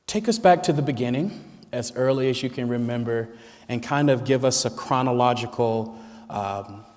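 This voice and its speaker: Halting moderator man in a hall. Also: mid-range voice, a little mellow. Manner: hesitant, many fillers while framing a question. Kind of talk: introducing questions at a campus talk. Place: campus lecture hall.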